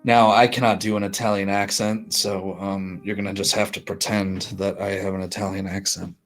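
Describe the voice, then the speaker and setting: Low-voiced man running a tabletop game. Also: flat delivery, normal speed. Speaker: game master. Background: faint music.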